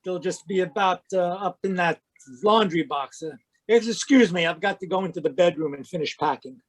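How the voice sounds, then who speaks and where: Man voicing a crusty jittery old man, gruff, high voice, dry close mic. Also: normal speed, no echo. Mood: irritable. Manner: gruff, irritable, suspicious. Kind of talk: radio drama read live over video call. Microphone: home microphone on a video call.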